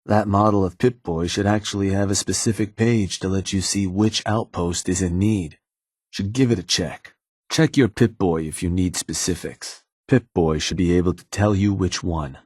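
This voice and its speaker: A scheming raider lieutenant in a game, measured and cool. The voice sits low with a husky grain. It is recorded dry and close, with no room sound. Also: male voice, fairly even intonation, a little mellow, no room sound. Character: shrewd male raider gang lieutenant, calm. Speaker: game character. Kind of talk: game voice lines.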